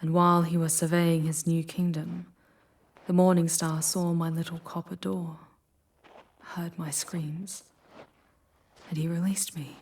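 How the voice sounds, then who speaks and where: A woman performs an ancient demoness for an ASMR roleplay, proud yet wounded. Her voice is low, breathy and husky, often sinking toward a whisper. She speaks very slowly, with formal, solemn deliberation as a guide through the underworld. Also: level tone, darkish tone. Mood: grave, recounting.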